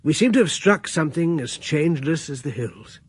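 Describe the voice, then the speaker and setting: Guy narrating a radio play, calm and reflective, dry close sound, bit breathy. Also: fairly high pitch, moderate pace, no echo. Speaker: narrator and lead character. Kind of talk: first-person radio drama narration.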